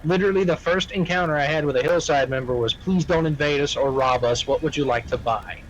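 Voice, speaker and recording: A man with a slightly high-pitched voice argues his point in a casual roleplay game chat. He is emphatic and repeats himself, with profanity thrown in. There is faint noise on the recording. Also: a little fast, lo-fi sound. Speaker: roleplay gamer, faction member.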